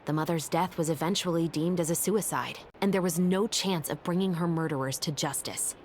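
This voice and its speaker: A woman voicing a calm, composed female officer in a video game, speaking in a steady, matter-of-fact way. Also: on the low side, a little fast, a little hiss or hum, lightly acted. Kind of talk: game voice acting.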